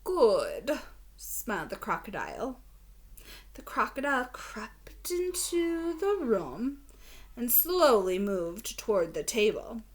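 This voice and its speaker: A woman teacher reading a children's story aloud, expressive and deliberate, prompting young listeners with questions. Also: fairly high pitch.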